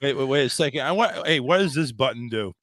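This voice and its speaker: A man's voice: mid-range voice, animated, quick pace, crowd noise. A man hosting a talk show, rambling and joking crudely in casual chat. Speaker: show host.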